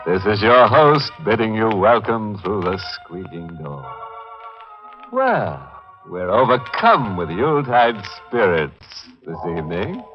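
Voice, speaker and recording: Casting: a mock-genial ghoulish 1940s radio host, deep and unhurried, relishing the gruesome, on dull vintage audio. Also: male voice, very animated. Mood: gleefully macabre. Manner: arch, punning, relishing the gruesome. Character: gleefully macabre, mock-genial male horror host. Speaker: host of a radio horror anthology. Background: music.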